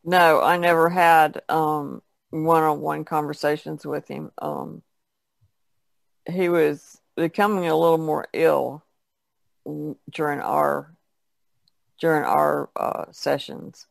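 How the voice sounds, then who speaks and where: A woman's voice: low voice, fairly even intonation, slow pace, vocal fry, dry recording. A woman chatting casually as an artist guest on a podcast. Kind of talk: casual chat.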